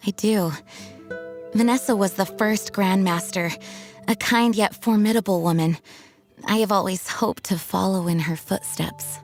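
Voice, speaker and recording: Woman plays earnest knight leader: formal, measured, mid-range voice. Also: mid-range voice, soft background music, natural voice. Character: dutiful knight commander, adult woman. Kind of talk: video game voice acting.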